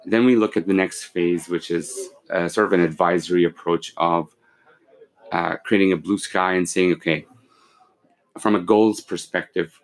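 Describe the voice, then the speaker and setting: CEO guest, forties, very low voice, steady and measured, filler-heavy. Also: male voice, creaky. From born in Pakistan, raised in London and Kuwait. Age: 40s. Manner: measured, with many fillers and restarts. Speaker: company CEO, podcast guest. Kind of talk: casual interview, explaining business.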